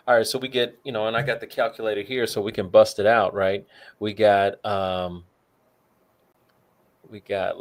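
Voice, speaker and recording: Easygoing male co-host talking money. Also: mid-range voice. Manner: works through figures aloud, many fillers. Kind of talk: casual financial talk show chat.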